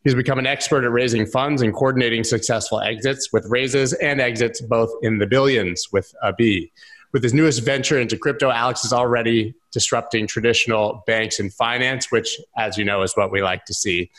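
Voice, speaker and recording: Podcast host in his thirties, upbeat man, smooth with scripted plugs, on a video call. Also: mid-range voice, steady tone, brisk, a bit bright, poor audio. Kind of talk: scripted podcast intro and interview questions. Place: bright room at home.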